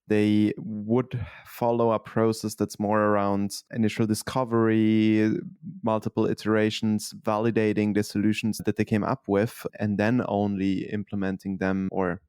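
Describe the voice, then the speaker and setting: A man with a low voice co-hosts a podcast interview. He asks his questions in a measured way and pauses to find his words. The sound is dry. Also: darkish tone, clear, resonant tone, no room sound. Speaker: podcast co-host.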